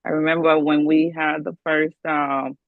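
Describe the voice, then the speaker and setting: A woman's voice: sits low, level tone, unhurried, no echo. A woman from the Bronx, hosting an interview in a friendly, easygoing way with lots of fillers. The recording is dry and a bit dull and lo-fi. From the Bronx, New York. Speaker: interview host. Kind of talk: casual interview chat.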